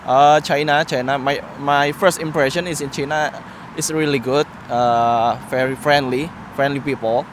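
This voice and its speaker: A man from Indonesia with an Indonesian accent, answering casually in halting English and often repeating words. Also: medium pitch, steady tone. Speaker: interviewee. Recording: clear outdoor traffic noise.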